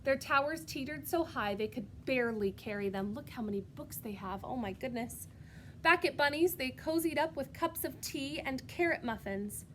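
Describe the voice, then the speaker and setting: A woman reading a storybook aloud as a preschool teacher, expressive and sing-song, pausing to put questions directly to young children. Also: high-pitched, slight background noise, poor audio.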